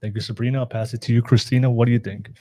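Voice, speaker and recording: A man's voice: medium pitch, very fast. A man hosting a casual podcast panel, moderating with lots of fillers. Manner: relaxed, filler-heavy moderating. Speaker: podcast host.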